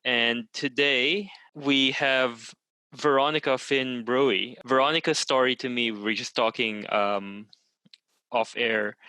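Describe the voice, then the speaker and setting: A man hosts an interview podcast and welcomes his guest in a friendly tone. His voice is bright and forward, and the recording is dry and close. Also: mid-range voice, fairly even intonation, slow, clean tone, no room sound. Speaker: podcast host.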